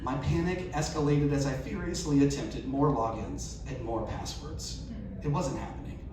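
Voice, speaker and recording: A man's voice: mid-range voice, normal speed, crowd noise. Echoey man reading a story aloud. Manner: performed delivery, acting out dialogue and self-questions. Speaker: reader at a live storytelling event. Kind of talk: reading a humorous first-person story aloud to an audience. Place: live event venue. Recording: echoey, noisy room recorded from far off.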